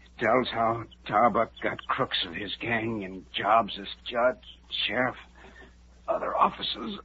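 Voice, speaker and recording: An actor playing a gravely wounded settler, weak and fading, speaking in halting, breathless broken phrases. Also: male voice, medium pitch, lightly acted. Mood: dying. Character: gravely wounded male settler, frightened and urgent. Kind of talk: 1950s radio drama acting. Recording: muffled, hissy 1950s radio broadcast.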